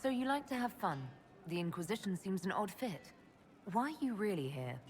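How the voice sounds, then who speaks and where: An actress playing a composed, inquisitive mage leader in a video game, asking measured, direct questions. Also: female voice, medium pitch, sing-song, slight background noise, natural voice. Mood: skeptical. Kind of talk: video game dialogue acting.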